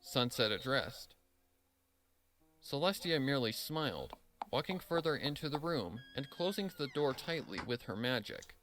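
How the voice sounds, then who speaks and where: A man's voice: mid-range voice, sing-song, normal speed, fairly bright tone, faint music behind, low-quality recording. A man narrating a scripted story, reading expressively and dramatically.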